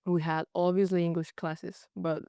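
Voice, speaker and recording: A young woman from Colombia with a Colombian Spanish accent, a native Spanish speaker speaking halting English with frequent restarts in a casual interview. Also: fairly low pitch, level tone, mellow, clear, resonant tone, no room sound, studio quality. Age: young adult. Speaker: guest, college goalkeeper.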